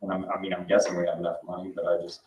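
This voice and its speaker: Low-voiced man questioning from across echoey room. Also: completely flat delivery, quick pace, a little mellow, lo-fi sound, a little hiss or hum. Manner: tentative, conversational, often says "like" and "you know". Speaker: board member asking questions. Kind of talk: informal questioning at a public meeting. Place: indoor public board meeting room. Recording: echoey meeting room, distant mic.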